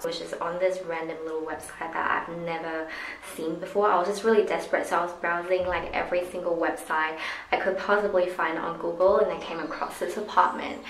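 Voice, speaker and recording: A woman's voice: on the low side, a bit bright, a little hiss or hum. A woman in her twenties chatting casually as a travel vlogger, recorded indoors in an apartment. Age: 20s. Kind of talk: casual vlog chat. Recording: roomy echo with music behind. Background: music.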